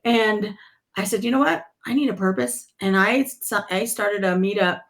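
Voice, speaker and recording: A woman's voice: mid-range voice, normal speed, vocal fry. A middle-aged woman whose first language is Spanish, chatting reflectively as a podcast guest. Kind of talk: casual video-call chat.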